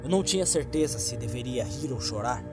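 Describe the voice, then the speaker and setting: A man's voice: mid-range voice, expressive, brisk. Brazilian man narrating horror over music. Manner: suspenseful, acts out the lines. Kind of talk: dramatic scripted narration of a horror story.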